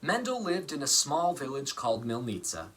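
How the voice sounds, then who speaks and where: A man reading a children's story aloud as an actor, with deliberate, theatrical phrasing and dramatic emphasis. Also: mid-range voice, sing-song, fairly bright tone, a little hiss or hum. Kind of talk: expressive storybook narration.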